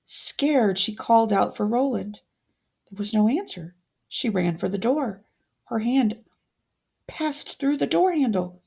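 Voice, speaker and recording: Slow, very creaky woman storyteller. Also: on the high side, animated. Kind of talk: expressive fairytale narration read aloud.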